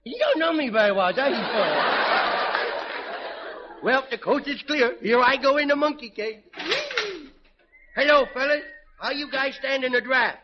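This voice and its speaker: A man's voice: extremely high pitch, normal speed, long pauses, crowd noise. A man playing a mischievous little boy with childish grammar and cheeky wisecracks in 1940s radio comedy. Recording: muffled, noisy vintage radio recording, studio audience.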